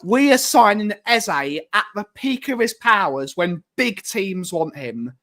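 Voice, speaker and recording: Insistent, high-pitched English man. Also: very animated, measured pace, pushed and bright. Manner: emphatic, insistent, repeats points. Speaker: football fan panellist. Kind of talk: casual football debate.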